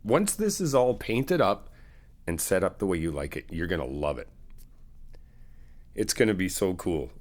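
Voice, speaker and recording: A fairly low-voiced man talks to the listener like a close friend on moving day. His pitch swings up and down a lot as he jokes and laughs. The talk is relaxed, teasing and upbeat. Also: moderate pace, long pauses. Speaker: ASMR roleplay creator playing a best friend. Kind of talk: casual friendly roleplay chat to the listener.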